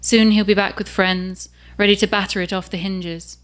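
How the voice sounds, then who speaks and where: An author reads prose aloud to a live audience. She takes her time over each sentence, and her voice sits at an ordinary middle pitch. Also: steady tone, clear, resonant tone. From England. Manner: measured and unhurried. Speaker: author reading her own work. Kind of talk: reading fiction aloud.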